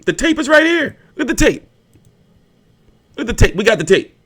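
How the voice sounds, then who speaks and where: A man's voice: very high-pitched, very animated, fairly quick, heavy background noise. A man hosting a boxing livestream in a casual, blunt and profane ranting style, often repeating his phrases.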